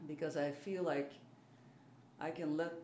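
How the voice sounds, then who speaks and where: A woman's voice: low-pitched, steady tone, a little slow, a little mellow. Hesitant Belgian-accented woman in a roomy space. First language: French, German and Dutch. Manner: self-deprecating, hesitant. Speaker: artist being interviewed. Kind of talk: casual interview talk. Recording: roomy, faint background noise.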